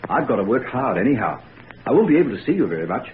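An actor voices a smooth, manipulative young student in an old radio drama, coaxing and persuasive. His pitch moves in a lively way. The sound is thin and phone-like, with a faint hiss. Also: fast, lightly acted. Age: young adult. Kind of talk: 1940s radio acting.

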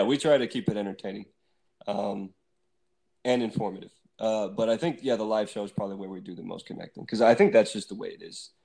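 A man in his twenties, a band singer with a low voice that sometimes cracks, chatting loosely and laughing often in a remote interview. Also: gravelly. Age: 20s. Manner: relaxed, rambling, laughs often.

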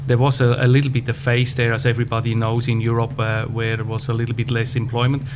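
A man of about fifty with a Swiss German accent, answering interview questions in a measured way with frequent pauses. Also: mid-range voice, monotone. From Switzerland. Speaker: podcast guest, business owner. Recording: background hum.

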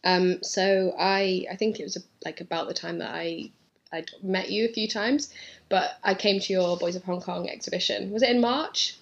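A woman's voice: medium pitch, fairly even intonation, normal speed, highs cut off. A woman hosting an interview, asking questions and encouraging her guest. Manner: encouraging, fills in with affirmations. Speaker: interviewer, podcast host. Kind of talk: casual interview.

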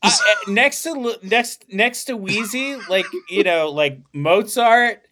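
A man's voice: sits high, expressive, slow pace, background noise. A young man hosting a casual podcast, rambling and joking with lots of swearing and laughter. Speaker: podcast host. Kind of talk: casual comedic podcast banter.

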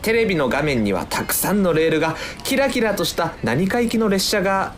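A woman's voice: lowish voice, big pitch swings, a little fast, a little hiss or hum. A woman playing a playful, bossily urging poetic storyteller in a whimsical radio drama, with a theatrical delivery. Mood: lyrical, upbeat.

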